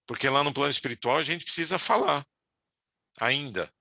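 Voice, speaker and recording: A middle-aged man giving a relaxed spiritual talk, speaking conversationally and often tagging his sentences with quick questions. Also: a little high, gravelly, dry recording, studio quality. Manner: conversational, frequent "né?" tag questions. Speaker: spiritual teacher.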